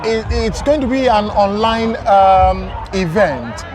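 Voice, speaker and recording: A man's voice: high-pitched, animated, slow pace. A man hosting friendly, upbeat interviews outdoors at a pride festival, with frequent ums. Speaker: host. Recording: outdoor crowd and music behind. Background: crowd and music.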